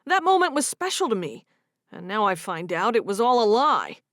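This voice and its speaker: A woman's voice: medium pitch, big pitch swings, normal speed, fairly bright tone, no room sound, clean studio sound. A woman reading a romance audiobook, voicing a young man who is wounded and earnest as he confronts his girlfriend. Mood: hurt.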